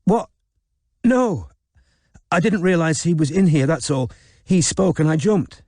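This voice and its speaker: A man's voice: fairly high pitch, animated, measured pace, warm tone, no room sound, studio quality, voice pushed up. A male narrator voicing a flustered, embarrassed young woman in an audiobook.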